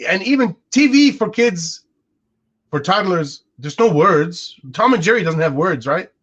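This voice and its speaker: A man's voice: sits high, animated, unhurried, a bit bright, breathy edge. A man in his forties whose first language is Arabic, musing aloud in a casual podcast conversation with frequent restarts. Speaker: co-host. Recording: dull lo-fi sound.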